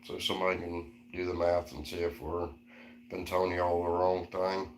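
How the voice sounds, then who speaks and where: A man's voice: sits low, completely flat delivery, normal speed, reverberant. A man in his forties co-hosting a casual live-stream chat, easygoing and reading out viewer comments. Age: 40s. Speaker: co-host.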